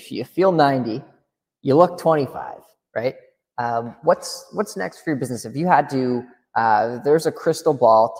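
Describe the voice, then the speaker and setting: A man's voice: medium pitch, creaky, darkish tone, in a large hall. A man hosting a casual interview, encouraging his guest and backing him up with frequent short replies. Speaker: interview host.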